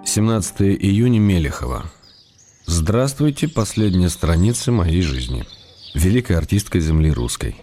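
Playful male letter-writer, low pitch, measured declamation, wry gallant temper, radio play, music clearly behind. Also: sing-song. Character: playful, courteous male writer reading out his affectionate letters.

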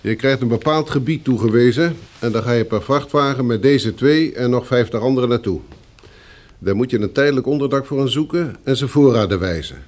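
A curt, hard-edged male gang leader played by an actor in a radio drama. He hands out orders in a commanding way, with a voice of medium pitch that sounds firmly in charge. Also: fairly quick, darkish tone, close to an everyday voice. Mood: brisk, stern. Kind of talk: Dutch radio play acting.

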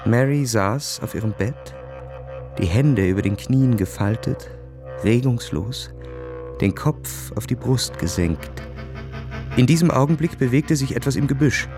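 An actor playing a cynical, world-weary young officer narrating his diary in a cool, measured, introspective way. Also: mid-range voice, lively intonation, over music. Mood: tense, detached. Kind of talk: literary radio drama narration, diary-like first person.

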